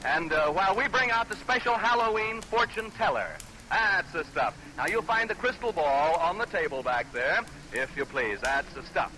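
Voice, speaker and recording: High-pitched American man hosting vintage radio show. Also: lively intonation, normal speed, pushed and bright. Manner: chatty, showman-like patter with repeated catchphrases. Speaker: radio game show host. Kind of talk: 1940s live radio quiz show hosting. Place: live radio stage. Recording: noisy vintage 1940s radio broadcast recording.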